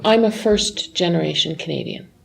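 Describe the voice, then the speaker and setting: A woman's voice: lowish voice, normal speed, gravelly, poor audio. A woman teacher being interviewed, telling a story in a chatty way. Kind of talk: casual interview.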